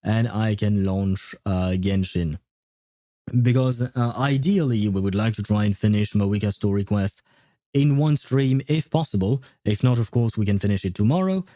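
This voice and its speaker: A man livestreaming a game, chatting casually with viewers and explaining things step by step with hesitant pauses. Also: on the low side, mellow, no echo, studio quality. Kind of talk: casual gaming livestream chat, answering viewer questions.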